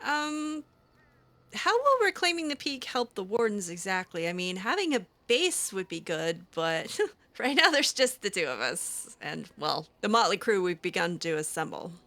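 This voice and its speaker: A woman's voice: medium pitch, big pitch swings, moderate pace, sharp tone. A woman improvising in-character roleplay, hesitant and full of ums. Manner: hesitant, with frequent ums and restarts. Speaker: roleplaying game player. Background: faint game music.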